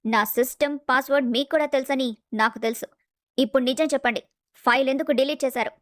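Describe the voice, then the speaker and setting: Woman playing principled young office woman, high voice, polite, firm, measured, dry close sound, family story drama. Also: fairly even intonation, no room sound, lightly acted. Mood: firm, questioning.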